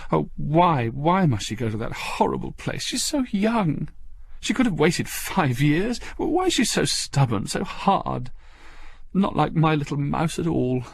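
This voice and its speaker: A man's voice: a little high, big pitch swings, unhurried, gravelly, slightly rough, voice pushed up, exaggerated voice. A man voicing an anguished middle-aged mother, clinging and pleading, in a whimpering dramatic audiobook voice. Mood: tearful, fearful. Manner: emotional, pleading.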